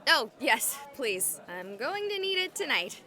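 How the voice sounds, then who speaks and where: Casting: perky young female game streamer, cheeky, very animated high voice, light music behind. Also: measured pace, a faint crowd, heavily acted. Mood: cheerful. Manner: perky, playful, slangy. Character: bubbly, cheeky young female livestreamer on a ghost hunt. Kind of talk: acted livestream chatter in a visual novel.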